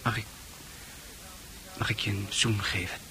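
Slow, hesitant, naive young man. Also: lowish voice, fairly even intonation, bright, forceful tone, slight background noise. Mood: shy, confused. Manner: hesitant, stammering. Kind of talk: Dutch radio play acting. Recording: radio play with music behind.

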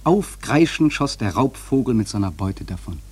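Husky, grave sailor narrator. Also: male voice, mid-range voice, big pitch swings, darkish tone. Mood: solemn, ominous. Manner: measured, solemn, with dramatic swells. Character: grave male sailor narrating a haunted whaling voyage. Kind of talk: dramatic literary narration for radio play.

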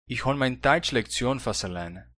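A man's voice: medium pitch, expressive, measured pace, fairly bright tone, dry recording, studio quality. A man with a Brazilian Hunsrik German accent, reading the student part of a scripted textbook dialogue in a plain, questioning manner. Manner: plain, questioning learner's delivery. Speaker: language course reader.

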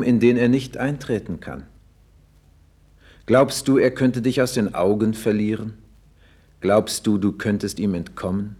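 Dark-voiced, solemn biblical narrator. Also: male voice, lowish voice, heavily acted. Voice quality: dark, husky. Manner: grave, measured, rhetorical questions. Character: solemn, searching narrator who challenges the fleeing prophet. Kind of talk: 1960s German biblical radio play narration.

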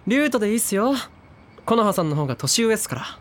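A man's voice: high-pitched, very animated, moderate pace, husky, exaggerated voice. An actor playing a laid-back teenage boy who talks in a casual, slangy, friendly way. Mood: easygoing. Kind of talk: drama CD acting.

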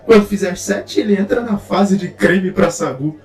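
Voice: medium pitch, normal speed, darkish tone, natural voice. An actor playing a chatty, homely, fussing host in an audio drama. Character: chatty, homely alien host who loves pudding.

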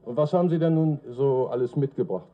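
A man's voice: mid-range voice, fairly quick. A man working as a radio reporter, interviewing in a 1950s reportage style. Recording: dull archive radio recording, faint music.

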